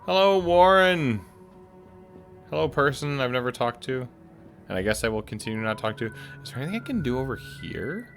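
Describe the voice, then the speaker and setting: A man's voice: medium pitch, big pitch swings, a little fast, fairly bright tone, soft background music. A man playing a game on camera, thinking aloud and making wry jokes as he goes. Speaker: gaming YouTuber. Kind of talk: let's play commentary.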